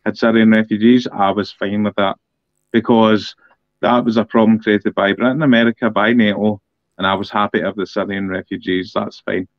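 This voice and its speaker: A man's voice: lowish voice, fairly even intonation, normal speed, no room sound. Blunt Scottish man, muffled dry sound. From Scotland. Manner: blunt, emphatic, repeats himself for effect. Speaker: political podcast co-host. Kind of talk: casual political discussion. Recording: dull, dry lo-fi audio.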